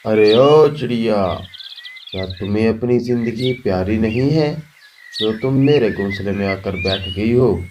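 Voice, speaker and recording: A man's voice: lowish voice, measured pace, darkish tone, distant mic, a little hiss or hum, natural voice. A man voicing a greedy, scheming eagle who blusters and feigns friendliness in a children's cartoon. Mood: angry. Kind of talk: Hindi children's cartoon voice acting.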